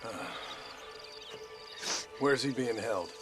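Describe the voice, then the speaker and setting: Weary American gunslinger, mid-pitched, gruff, sardonic, laconic, game cutscene with music behind. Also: male voice, very slow. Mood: concerned, brisk. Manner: laconic, dry. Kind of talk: video game cutscene acting.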